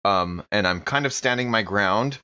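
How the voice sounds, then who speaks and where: A man's voice: lowish voice, normal speed, bright, forceful tone, clear, resonant tone, dry recording. A man playing a tabletop game, casually describing what his character does, on a slightly dull recording. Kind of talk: casual roleplay chat.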